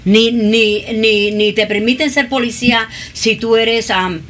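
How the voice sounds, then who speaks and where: A woman's voice: a little high, steady tone, measured pace, fairly bright tone. A woman hosts a talk show interview, moving easily between Spanish and English. Her questions come loose and conversational, full of small fillers. A faint hiss sits under the voice. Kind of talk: bilingual interview.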